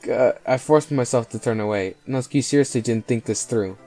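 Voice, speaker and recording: A man's voice: mid-range voice, fairly quick, dark tone. Man narrating a game over faint music. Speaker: gameplay video host. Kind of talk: reading visual novel narration aloud.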